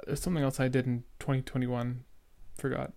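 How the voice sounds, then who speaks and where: A young man with a noticeable stutter talks through his thoughts in a casual, rambling way. He sounds earnest and leans on fillers as he comments on an old recording of himself. His voice sits at an ordinary pitch. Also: flat delivery, fairly quick, vocal fry, mellow. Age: young adult. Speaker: podcaster reacting to his own episode.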